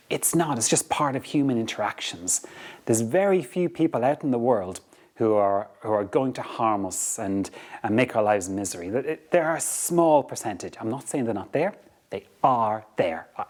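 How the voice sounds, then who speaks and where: An Irish man speaks in a steady middle register, delivering a motivational talk on leadership. He is earnest and repeats words as he thinks aloud, often pausing to check in with the listener. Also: mid-range voice. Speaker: podcast host.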